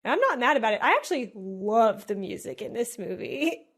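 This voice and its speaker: Female co-host, slightly high voice, chatty and animated, lots of "like". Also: fairly quick, husky. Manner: animated, musing, heavy use of "like". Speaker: podcast co-host. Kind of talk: casual chat.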